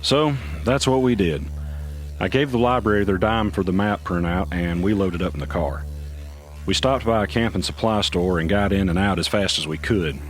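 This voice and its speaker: Actor as a deep-voiced confiding male narrator. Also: normal speed, close to an everyday voice. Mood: recounting. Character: weary male narrator recounting a tense mystery. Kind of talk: first-person audio drama narration. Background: music underneath.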